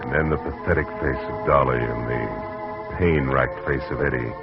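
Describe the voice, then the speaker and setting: An actor playing a world-weary private detective who narrates in a dry, laconic, hard-boiled way, in a 1940s radio drama on an old lo-fi broadcast. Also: male voice, very deep voice, moderate pace, over loud music.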